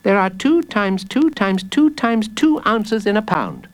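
Man putting on a high, eager little boy. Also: lively intonation, a little slow. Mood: confident. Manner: bright, eager, insistent. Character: cheeky, earnest, eager little boy prisoner.

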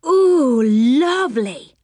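An eager child on a storybook adventure, acted out by a woman reader. The voice is high and leaps about with wonder. Each exclamation is drawn out and relished. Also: lively intonation, unhurried, no echo, studio quality. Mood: amazed, excited.

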